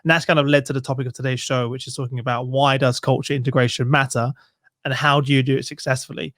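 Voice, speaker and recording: A man's voice: medium pitch, little pitch movement, fast talker, fairly bright tone, no echo. A young man hosting a podcast, friendly and casual, with a few fillers, on a studio mic. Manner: friendly, uses fillers and restarts. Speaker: podcast host. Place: room at home. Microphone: studio mic with headphones.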